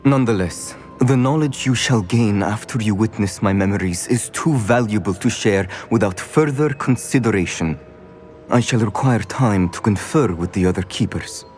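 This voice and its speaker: A man playing a dignified wizard professor in a game cutscene, formal, deliberate and cautious. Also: fairly low pitch, a little mellow, soft background music, close to an everyday voice. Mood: wary, stern. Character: wary, dignified wizard professor guarding secret memories. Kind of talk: dramatic game cutscene acting.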